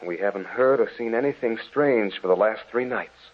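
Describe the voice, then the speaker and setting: An actor playing a grave, sympathetic man in old-time radio drama, with a husky voice and gentle manner. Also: on the low side, normal speed. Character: sympathetic, grave man consoling a bereaved friend. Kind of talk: 1940s radio drama acting. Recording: dull old radio recording.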